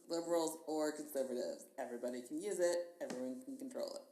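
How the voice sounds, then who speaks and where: Woman, middle-aged, very low voice, casual and gushing, lots of laughs and restarts; roomy recording with faint hiss. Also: fairly quick, dark tone. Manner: laughing, enthusiastic, trailing restarts. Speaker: guest painter. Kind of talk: casual chat. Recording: echoey room with faint hiss.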